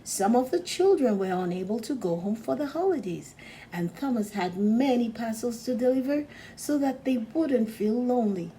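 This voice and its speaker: A woman narrating a children's storybook aloud in a gentle, deliberate, expressive storytelling manner. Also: on the high side, slight background noise, rough recording. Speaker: storybook reader.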